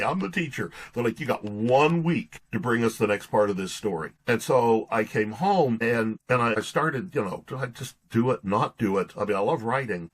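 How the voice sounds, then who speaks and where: A man's voice: mid-range voice, husky. A middle-aged American man, an interview guest, tells personal stories earnestly and conversationally, acting out bits of remembered dialogue. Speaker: interview guest, author and pastor.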